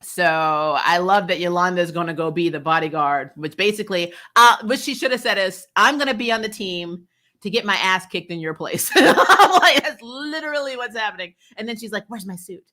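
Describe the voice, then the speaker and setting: A woman hosting a casual recap show, blunt and opinionated, retelling scenes in an animated, chatty way with some mild swearing. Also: mid-range voice, fairly quick. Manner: animated retelling, acting out dialogue, prone to chuckling; blunt, opinionated, mildly profane. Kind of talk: casual episode recap and commentary.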